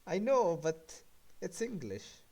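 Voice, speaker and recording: A man coaching a player through a video game replay in casual chat, bursting into loud excited yelps. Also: high voice, slow. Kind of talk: casual coaching chat over gameplay review.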